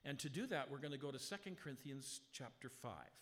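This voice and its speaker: A man's voice: mid-range voice, animated, a bit bright. Strong-voiced male pastor in a reverberant church. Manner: conversational preaching with rhetorical questions; slow, emphatic scripture reading. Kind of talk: sermon. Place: church sanctuary. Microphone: handheld or stand mic, sound adjusted live. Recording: long hall reverb.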